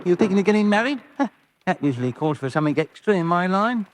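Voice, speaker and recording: A man's voice: sits high, lively intonation, quick pace, background noise. An actor playing a cheery, cheeky working-class milkman, chatty and matey in a radio drama. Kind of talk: old-fashioned radio drama acting.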